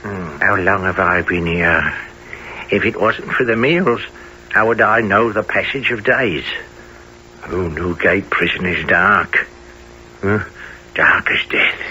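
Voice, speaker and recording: Wily old prisoner, low-pitched, slow muttering with outbursts, radio drama, slightly dull recording. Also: male voice, bright, forceful tone, a little hiss or hum, heavily acted. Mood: rambling dread. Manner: muttering, theatrical, erratic outbursts. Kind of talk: radio play acting.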